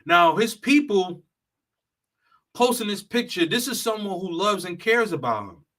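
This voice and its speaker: A man's voice: high voice, expressive, a little slow, fairly bright tone. A man who works as a travel consultant, giving a blunt, profane, lecturing monologue straight to the viewer. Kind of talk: heated straight-talk monologue.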